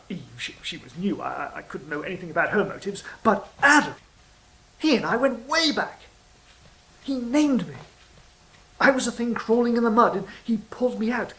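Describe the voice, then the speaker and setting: An actor playing the sly, wistful serpent of Eden in a theatrical monologue, confiding and musing, shifting between hushed and declamatory delivery. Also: medium pitch, poor audio. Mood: fond, proud.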